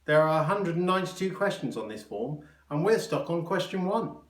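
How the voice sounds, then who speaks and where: A man voicing a flustered, excitable, bumbling hospital doctor in a children's story, given to panicky exclamations and eager questions. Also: fairly high pitch, fairly quick, roomy sound, pitched above the performer's own voice, close to an everyday voice. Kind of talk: children's storybook character reading.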